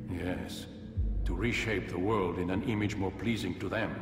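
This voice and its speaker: An actor playing an aged, commanding assassin master in a video game, speaking as a grave, oratorical mentor. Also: male voice, low voice, steady tone, normal speed, roomy sound, big-room sound, far from the mic, music in the background, close to an everyday voice. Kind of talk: video game cutscene dialogue.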